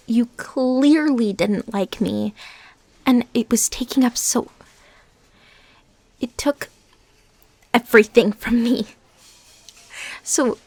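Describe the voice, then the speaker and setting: A woman playing a lovesick, defensive and unstable former stalker in an intimate audio drama, speaking in a halting, emotionally intense way. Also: high-pitched, no room sound. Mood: jarred, guarded. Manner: halting, pleading, emotionally intense, drops into whispers.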